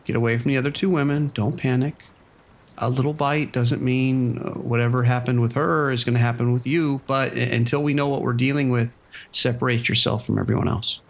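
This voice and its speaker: A man playing a firm, steady police sergeant. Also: medium pitch, close to an everyday voice. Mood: steady, commanding. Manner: firm, measured instructions. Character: calm, steady male police sergeant on the phone. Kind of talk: audio drama acting.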